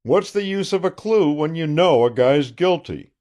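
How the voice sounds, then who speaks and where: A loud, self-satisfied sheriff, as a male reader puts him on, booms out his boasts in a raised voice that swings widely in pitch. He sounds blustering and triumphant, an old-fashioned lawman in an audiobook. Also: lively intonation, moderate pace, lightly acted. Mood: boastful.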